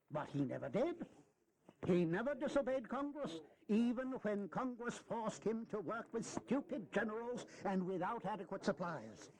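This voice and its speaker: A high-pitched, animated old statesman in a historical audio drama. He is wily and philosophical, swooping through emphatic, deliberate oratory like a seasoned political persuader. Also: no echo, rough recording.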